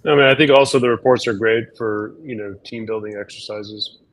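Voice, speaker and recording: A slightly hoarse man, a doctor, explaining things in an easygoing way with lots of fillers during a casual webinar chat. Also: on the low side, fairly even intonation, fairly quick.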